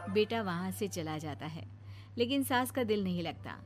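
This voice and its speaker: A woman's voice: mid-range voice, expressive, normal speed, loud music behind the voice. A woman delivering scripted narration for a family moral story.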